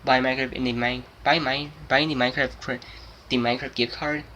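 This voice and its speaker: A man's voice: medium pitch, flat delivery, a little fast, sharp tone, a little hiss or hum. A seventeen-year-old young man talking casually to his channel, rambling and repeating himself with many restarts. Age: 17, about to turn 18. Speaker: gaming channel host. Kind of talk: casual unscripted monologue.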